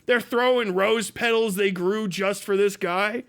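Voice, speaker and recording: Very high-pitched man ranting, profane and comedic. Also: fairly even intonation, unhurried, a bit bright, clear, resonant tone. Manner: mocking, exaggerated storytelling; laughs often while talking. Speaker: livestream host. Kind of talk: casual comedic rant.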